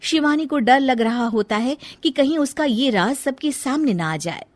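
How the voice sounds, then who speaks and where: A woman storyteller delivers scripted narration. Her voice sits fairly high, and the sound is dry with no room around it. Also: lively intonation, normal speed, dry recording.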